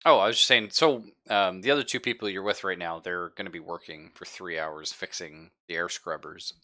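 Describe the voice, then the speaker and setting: Guy game mastering a tabletop rpg, low voice but bright, talks it out as he goes. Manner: conversational, halting, thinking aloud. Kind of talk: narrating a live tabletop roleplaying game. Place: live-streamed game session.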